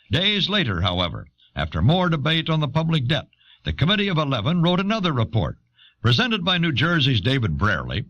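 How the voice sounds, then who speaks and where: A man reading scripted historical narration in a measured, authoritative manner. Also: mid-range voice, fairly bright tone. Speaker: documentary narrator. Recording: dull old audio cassette.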